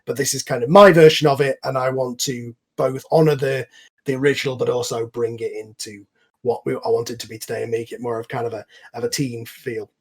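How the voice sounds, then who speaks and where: A man's voice: medium pitch, steady tone, fairly quick, breathy edge. A man hosting a casual online group chat, explaining things at length in an enthusiastic, informal, rambling way. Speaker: host and series creator. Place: online voice call.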